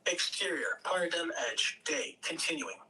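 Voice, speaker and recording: A woman's voice: lowish voice, lively intonation, measured pace, sharp tone, far from the mic, a little hiss or hum, rough recording. A woman reading stage directions as scripted narration at a table read.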